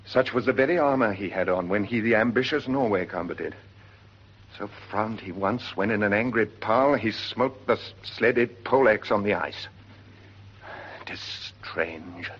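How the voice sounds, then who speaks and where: Muffled, noisy 1940s radio drama. a grave British scholar with a low voice, declaiming verse in a formal, measured manner. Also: male voice, fairly bright tone. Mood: awed, shaken. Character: grave scholarly gentleman, sceptical but shaken by dread.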